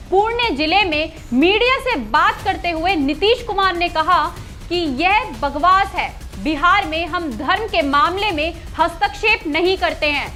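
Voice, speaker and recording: A woman's voice: extremely high pitch, sharp tone. A woman news anchor reading a scripted news report.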